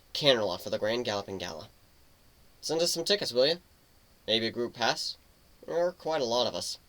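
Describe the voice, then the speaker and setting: A man voicing a gruff, rousing griffin crew leader in a dramatic reading, with solemn, emphatic oratory. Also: fairly low pitch, sharp tone. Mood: wistful. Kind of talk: dramatic reading of fan fiction.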